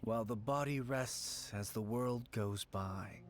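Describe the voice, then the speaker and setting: A man's voice: mid-range voice, a little hiss or hum, close to an everyday voice. A man voicing a mysterious shrine keeper. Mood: knowing. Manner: unhurried, knowing, politely formal. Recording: game audio under background music.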